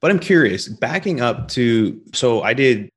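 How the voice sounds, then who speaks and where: A man from Canada hosting a podcast, chatting in a friendly, relaxed way with frequent restarts. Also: medium pitch, a little slow, husky. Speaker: podcast host. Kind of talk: casual interview chat.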